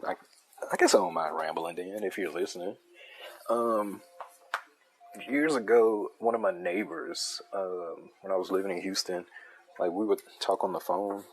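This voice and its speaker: Male streamer-artist, very low soothing voice, relaxed rambling chat. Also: slight background noise, low-quality recording. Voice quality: deep, soothing voice. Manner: laid-back, loose and chatty, with laughs and restarts. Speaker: artist drawing a comic on a livestream. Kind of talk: casual rambling chat while drawing.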